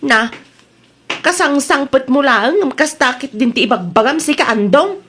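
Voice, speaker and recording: A woman's voice: high-pitched, lively intonation, measured pace, a bit bright, slight background noise. A woman playing a sly, evasive market vendor who whines and protests in a comedy drama. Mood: aggrieved. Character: sly, cheating market vendor wife, evasive when accused.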